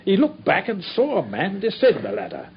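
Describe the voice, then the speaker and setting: A man's voice: high voice, wildly swooping pitch, heavily acted. An actor playing a dogged, proud male police inspector recounting a case in a radio drama. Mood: earnest. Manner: earnest, storytelling, brisk. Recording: dull old radio recording with faint noise.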